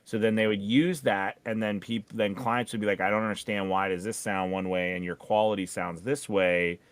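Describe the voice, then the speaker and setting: A man coaching in a conversational live discussion, explaining in a halting way with repeated words. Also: fairly low pitch, steady tone, dry recording. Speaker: voiceover coach and co-host.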